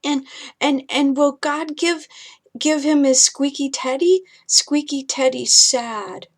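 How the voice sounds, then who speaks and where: Storybook small boy, played by a woman: high voice, anxious and sad, halting toddler speech. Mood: pleading, sad. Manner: halting, repeats words, toddler grammar. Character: sleepy, worried little boy, sad about his dog.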